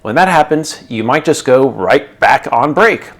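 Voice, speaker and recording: A middle-aged man hosting his channel, narrating a scripted explainer in a clear, instructional way. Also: medium pitch, expressive, unhurried. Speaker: channel host explaining air traffic control.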